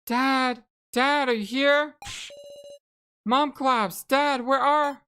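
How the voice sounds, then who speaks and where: A woman's voice: high-pitched, steady tone, takes it slowly, fairly bright tone, a little hiss or hum, voice pushed up. A woman voicing a frightened teenage girl in a horror game, with urgent, pleading exclamations. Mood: panicked, pleading.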